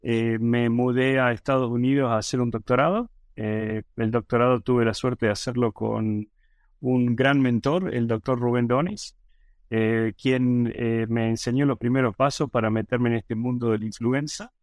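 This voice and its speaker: A middle-aged man with an Argentine Spanish accent, answering interview questions thoughtfully, with many pauses and restarts. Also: mid-range voice, steady tone, no room sound. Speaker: virology professor, guest.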